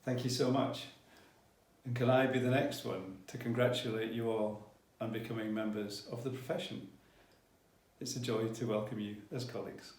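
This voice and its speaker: A man's voice: mid-range voice, level tone, normal speed, darkish tone. A man giving a formal, appreciative ceremony address as a college leader. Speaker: college principal, ceremony speaker. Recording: roomy echo with guitar music.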